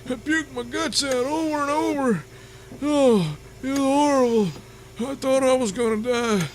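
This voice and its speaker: Shrill, hysterical, wailing male victim. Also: a little slow, a little hiss or hum. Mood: anguished outrage. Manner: wailing, melodramatic. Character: hysterical, whining, foul-mouthed male victim. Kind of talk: comedic audio serial.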